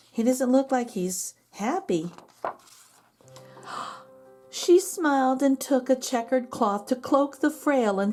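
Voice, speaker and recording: Woman, children's storyteller, high voice, very lively intonation, measured, expressive picture-book narration. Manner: measured rhyming delivery with gentle asides to children. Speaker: children's read-aloud storyteller.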